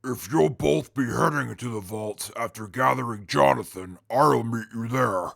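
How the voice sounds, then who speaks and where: A man playing a huge, dour wasteland mutant in a radio drama, speaking in a formal, deliberate, stiff way. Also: medium pitch, vocal fry, a bit bright, dry recording, clean studio sound, full character voice. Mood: formal, reserved. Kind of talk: post-apocalyptic radio drama acting.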